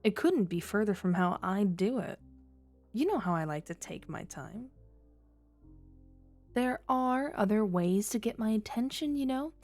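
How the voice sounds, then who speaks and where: A woman's voice: mid-range voice, expressive, measured pace, pauses often, a little mellow, faint music behind, close to an everyday voice. A woman playing a flirty, teasing female criminal who toys with a detective in a coy, sultry ASMR roleplay. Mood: coy, reproachful. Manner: coy, sultry, playful innuendo.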